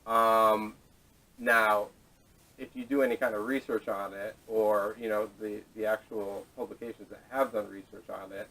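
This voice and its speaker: A man hosting a casual podcast discussion, speaking haltingly with restarts and casual swearing, picked up on a camera microphone. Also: lowish voice, level tone, pushed and bright. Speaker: podcast host introducing topics. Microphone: camera mic, away from speakers. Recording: distant camera microphone audio.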